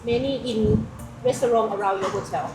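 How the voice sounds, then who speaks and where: A woman's voice: mid-range voice, fairly quick, over a noisy crowd, low-quality recording. A woman with a Thai accent working as a food tour guide, explaining dishes in simple, chatty English. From Thailand. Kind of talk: guiding explanation.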